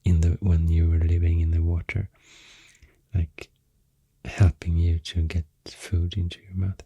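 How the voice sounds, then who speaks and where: Man, Swedish accent, soft-spoken ASMR, very husky near-whisper, unhurried, hesitant, soothing. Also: low voice, monotone, no room sound, highs cut off. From Sweden. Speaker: ASMR host.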